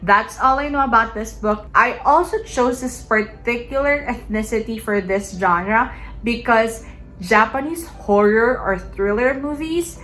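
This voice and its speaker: Young woman from the Philippines, native Tagalog speaker, bright eager booktuber, chatty and casual. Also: a little high, unhurried, poor audio. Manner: chatty, eager, filler-heavy. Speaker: book vlogger. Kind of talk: casual reading-list vlog.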